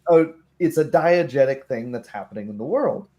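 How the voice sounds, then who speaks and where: A man's voice: mid-range voice, animated, a little fast, warm tone, dull audio. A man chatting casually about tabletop game rules in an explanatory way, sometimes voicing short hypothetical remarks.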